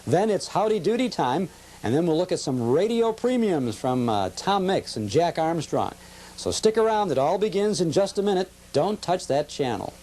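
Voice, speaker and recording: Male show host, mellow and inviting, friendly intro and interviewer. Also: on the high side, very animated, moderate pace.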